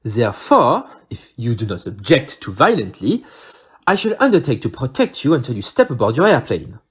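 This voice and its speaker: Courteous Belgian male sleuth, French accent, husky timbre, hugely animated melody, formal audio-play delivery. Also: mid-range voice, normal speed. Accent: French-speaking Belgian. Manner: formal, theatrical, French interjections. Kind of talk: amateur audio play acting.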